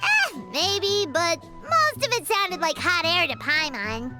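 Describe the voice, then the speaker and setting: Game sidekick: feisty little fairy, squeaky child-high register, animated and cheeky, music underneath. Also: female voice, takes it slowly, heavily acted. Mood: mocking, annoyed. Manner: cheeky, excitable. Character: tiny childlike fairy companion, squeaky, feisty, third-person talker. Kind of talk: video game voice acting.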